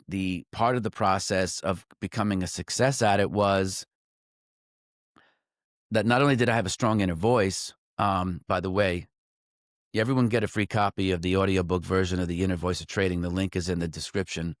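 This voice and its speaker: A man's voice: low voice, no room sound, studio quality. A man talking as a trading coach, reflecting aloud in a loose, conversational way, full of "like" and "um" and restarting his sentences as he goes. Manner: restarts and self-corrections mid-sentence. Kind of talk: reflective solo talk to camera.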